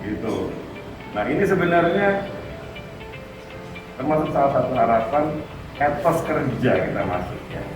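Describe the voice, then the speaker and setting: A man from Madura, explaining his views as an interview guest in a measured, playful way. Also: medium pitch, expressive. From Madura, Indonesia. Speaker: interview guest, community figure. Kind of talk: conversational interview. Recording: echoey room heard from a distance, loud music behind.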